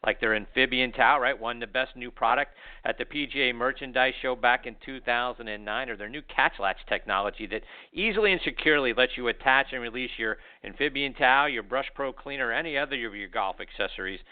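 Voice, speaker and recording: A man's voice: mid-range voice, quick pace. A man hosting a golf interview show and reading sponsor ads in an upbeat, enthusiastic way. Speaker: podcast host. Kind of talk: interview and ad reads. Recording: tinny phone-like audio.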